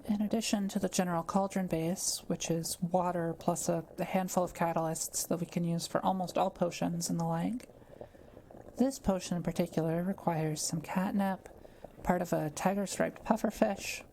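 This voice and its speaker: A woman playing a kind, quiet forest witch in an audio roleplay, speaking gently and patiently as she explains things to the listener. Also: lowish voice, steady tone, moderate pace, a little hiss or hum, lightly acted. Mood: focused, explanatory. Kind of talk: soft-spoken audio roleplay addressed to the listener.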